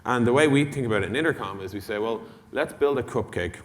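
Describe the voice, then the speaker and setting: A man's voice: medium pitch, fast talker. Man presenting in a big reverberant hall. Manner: casual, blunt, mildly sweary, uses acted-out dialogue. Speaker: conference speaker, tech company executive. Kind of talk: conference talk, informal product presentation. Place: large indoor conference hall. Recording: long hall reverb from conference stage.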